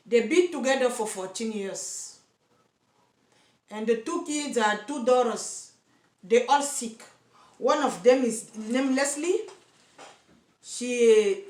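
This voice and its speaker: A woman from Cameroon with a Cameroonian accent, speaking straight to camera as an activist for single mothers, earnest and insistent, stumbling and repeating words as she searches for English. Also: fairly high pitch. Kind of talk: direct-to-camera vlog. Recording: echoey room.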